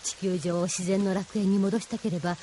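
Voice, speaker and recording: A woman's voice: medium pitch, steady tone, a little fast, dark tone, a little hiss or hum, lightly acted. A woman playing an idealistic, solemnly persuasive mentor in a Japanese audio drama, with a didactic, feminine way of speaking.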